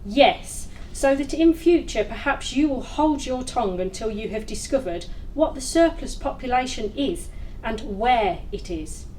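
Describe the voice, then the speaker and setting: A woman's voice: a little high, darkish tone, a little hiss or hum, poor audio. A woman playing a stern, grand Christmas spirit in an audio play, speaking solemnly and with emphasis.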